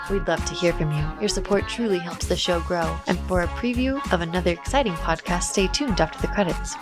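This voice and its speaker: A woman reading a scripted podcast outro as its announcer. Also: lowish voice.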